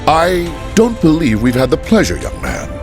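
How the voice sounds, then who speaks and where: An actor playing a learned older gentleman hero in a video game, with a measured, courteous, musing manner. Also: a little high, wildly swooping pitch. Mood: intrigued, courteous. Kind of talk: video game cutscene acting. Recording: music underneath.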